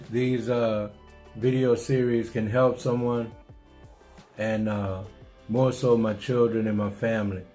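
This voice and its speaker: Middle-aged New Orleans man, low and even delivery, reflective vlog storytelling, faint music underneath. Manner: unhurried, musing, repeats himself with restarts. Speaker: vlogger telling his life story.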